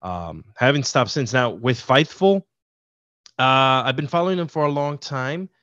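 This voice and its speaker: A middle-aged man from Puerto Rico, a podcast guest telling stories in a relaxed, rambling way over a video call. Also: medium pitch, measured pace, dry recording. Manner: easygoing, rambling storyteller. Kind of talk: casual interview chat. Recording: dull, dry video-call audio.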